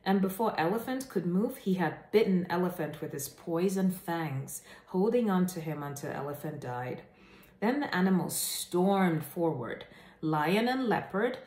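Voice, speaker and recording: Echoey, lower-pitched woman reading aloud. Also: expressive, unhurried, darkish tone. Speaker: host reading children's stories aloud. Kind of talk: animated read-aloud storytelling to camera. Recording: echoey room.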